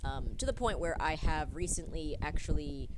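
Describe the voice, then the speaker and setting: Sniffly, low-pitched woman, casual meandering artist chatter, loud noise behind. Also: expressive, normal speed. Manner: meandering, filler-heavy, thinking aloud. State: sniffly from pollen. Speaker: artist streaming a drawing session. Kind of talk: casual rambling chat while drawing.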